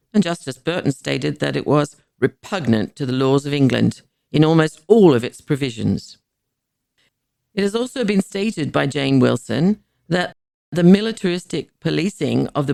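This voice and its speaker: A woman narrates a scripted history podcast. Her delivery is measured and formal, with carefully placed phrases. There is a noticeable vocal fry, and the sound is dry, free of room reflections. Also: low voice, darkish tone, no echo.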